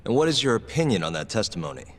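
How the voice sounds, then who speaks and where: A man's voice: on the low side, very animated, racing. A man playing a cool, persistent young lawyer-detective in an English-dubbed game drama, with a probing manner. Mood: composed, formal.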